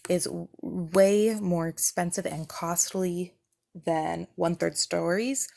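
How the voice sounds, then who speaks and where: A woman's voice: on the low side, creaky, a little mellow. A woman in her thirties giving a casual, explanatory product review in a conversational way, like a parent vlogger. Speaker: parent reviewing children's subscription boxes.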